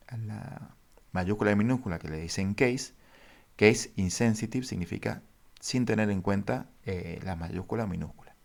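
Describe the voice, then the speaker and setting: Deep gravelly-voiced man teaching databases. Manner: halting, many fillers, addresses viewers formally. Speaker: database instructor. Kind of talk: screen-share coding lesson.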